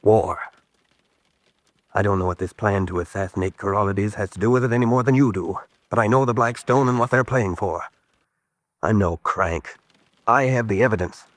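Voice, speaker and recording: A man's voice: fairly low pitch, moderate pace, no echo, close to an everyday voice. A desperate spy on the run, played by a male actor in a radio drama. He is a hunted American man who speaks with urgent, dramatic intensity, as if begging to be believed. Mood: insistent.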